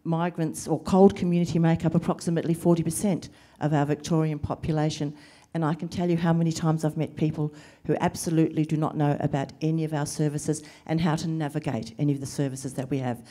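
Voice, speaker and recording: A middle-aged woman talks on a panel about caring for family, earnest and measured. Her voice sits low and mellow, with the weariness of someone short on sleep. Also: level tone. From Lebanese family, raised in New Zealand and Australia. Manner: earnest, emotional, measured with pauses. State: sleep deprived. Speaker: panelist, carer and social worker. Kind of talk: panel discussion answers.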